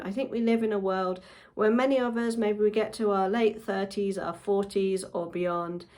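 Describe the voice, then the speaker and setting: A woman's voice: mid-range voice, a little mellow. A woman in her forties, a book coach, chatting casually to camera at home with frequent ums. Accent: non-Japanese English accent. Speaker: book coach and channel host.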